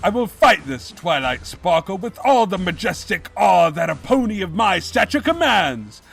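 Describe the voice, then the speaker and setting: A man's voice: high-pitched, big pitch swings, moderate pace, creaky, a bit bright, exaggerated voice. An actor playing a pompous evil pony king, grandiose yet cowardly, in a bombastic comedic audio drama style. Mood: indignant, grandiose. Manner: bombastic, theatrical declarations.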